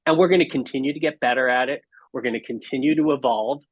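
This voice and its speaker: Male interview guest, earnest and reflective, mid-pitched, on a dull, dry recording. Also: quick pace, breathy edge, dry recording. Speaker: podcast guest, marketing executive.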